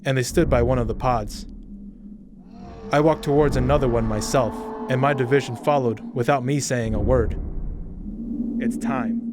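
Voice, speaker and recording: A young man reading a first-person audiobook story in a slow, measured, brooding way. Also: mid-range voice, fairly even intonation, noisy background. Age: young adult. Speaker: narrator.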